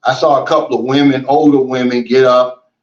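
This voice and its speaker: A man hosting a casual livestream, reading out viewer comments and chatting in a relaxed, folksy way, often saying "man" and "you know". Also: medium pitch, a little fast. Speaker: livestream host. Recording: roomy and dull, lo-fi.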